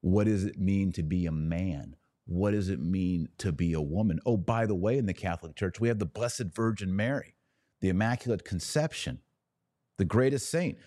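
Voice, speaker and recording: Deep-voiced man, emphatic religious commentary. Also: mellow, dry recording, clean studio sound. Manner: emphatic, declamatory, pausing between short phrases. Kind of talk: opinionated religious commentary, preaching monologue.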